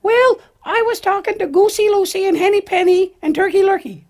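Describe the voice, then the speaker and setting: A woman voicing an excitable, childlike little lamb with an earnest, emphatic manner in a children's puppet show. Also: extremely high pitch, a little fast. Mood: upset. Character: excitable little lamb, easily upset, devoted to friend.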